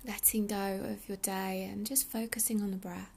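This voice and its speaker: A woman leading a guided meditation in a soothing, unhurried, breathy soft delivery with pauses. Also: medium pitch, flat delivery, a little hiss or hum. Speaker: meditation guide, yoga teacher.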